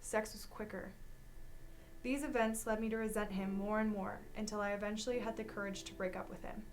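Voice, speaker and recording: A woman's voice: mid-range voice, steady tone, brisk. A young adult woman, a student, reading a personal account aloud. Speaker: student reader.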